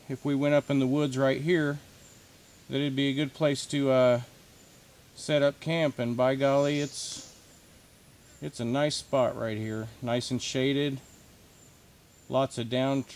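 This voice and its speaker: A middle-aged man narrating casually to a camera outdoors in the woods, in an unhurried, folksy way. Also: mid-range voice, darkish tone, smooth. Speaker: outdoor vlogger. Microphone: camcorder a few feet away.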